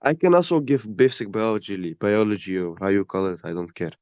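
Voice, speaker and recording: A man's voice: lowish voice, sing-song, darkish tone, no room sound. Blunt guy stumbling over words, dry muffled audio. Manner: halting, repeats words, blunt and dismissive. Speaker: stranger in an online debate. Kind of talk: heated argumentative debate.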